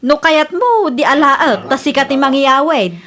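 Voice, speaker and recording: A woman's voice: high voice, expressive, measured pace, a bit bright. A woman with an Ilocano accent playing a proud, gossipy village wife in a comedy radio drama. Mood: indignant, mocking.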